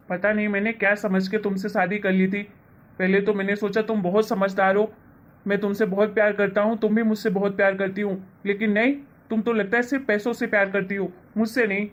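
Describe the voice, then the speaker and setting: A woman's voice: medium pitch, natural voice. A woman voicing an exasperated, scolding young husband in a family drama story. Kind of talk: acted dialogue in Hindi family story.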